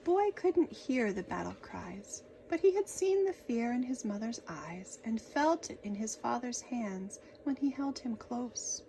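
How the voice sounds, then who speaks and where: A woman reading a children's picture book aloud in an expressive, dramatic storytelling manner. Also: on the high side, measured pace, few pauses, a little hiss or hum, low-quality recording.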